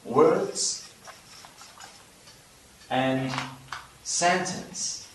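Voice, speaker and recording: A young man with a Vietnamese accent, a native Vietnamese speaker teaching English in a careful, deliberate video lesson. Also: mid-range voice, echoey room, a little hiss or hum. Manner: careful, deliberate explanation with pauses and fillers. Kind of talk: slow instructional video lesson.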